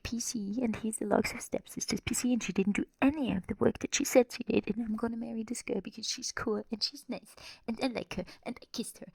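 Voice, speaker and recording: A woman playing a defiant young storybook prince with a blunt, slangy, insistent manner in a breathy whisper. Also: a little high, darkish tone, no echo, low-quality recording.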